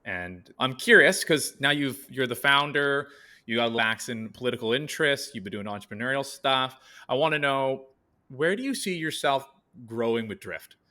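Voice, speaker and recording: A man's voice: medium pitch, animated, normal speed, bright, forceful tone. A man hosting an interview podcast, asking casual, upbeat, rambling questions. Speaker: podcast host.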